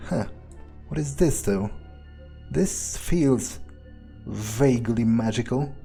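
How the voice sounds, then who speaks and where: Slow, mellow man musing through a game. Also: mid-range voice, lively intonation, gravelly, faint music behind. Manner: musing, sardonic, profane. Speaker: video game player, roleplaying in character. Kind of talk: in-character playthrough commentary, thinking aloud.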